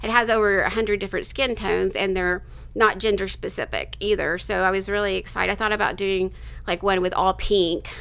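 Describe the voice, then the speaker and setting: Gravelly, bright-voiced woman in her fifties. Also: medium pitch, fairly even intonation, moderate pace. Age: 50s. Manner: rambling, self-interrupting, laughs between thoughts. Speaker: interview guest, artist. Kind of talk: casual conversational interview.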